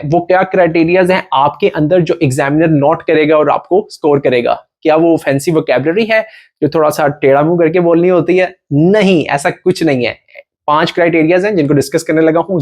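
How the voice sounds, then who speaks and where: A man giving an exam tutorial, talking fast in Hindi-Urdu and slowing down for deliberate English, often checking in with the viewer. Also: a little high, a little mellow. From Pakistan. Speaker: IELTS coach.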